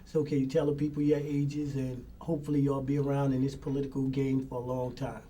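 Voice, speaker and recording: A man's voice: medium pitch, level tone, a little fast, warm tone. A man moderating a community talk show, casual and familiar, calling the guests brother. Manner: familiar, calls guests brother, loose colloquial speech. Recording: distant mic with faint noise.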